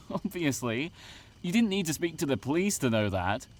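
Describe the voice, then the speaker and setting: 남자가 연기하는 까칠하고 무시하는 말투의 젊은 남성 상담원. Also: male voice, fairly high pitch, big pitch swings, moderate pace. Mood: dismissive. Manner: snide, sighing, condescending. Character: dismissive, grumpy young male camp counselor. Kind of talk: audio drama acting.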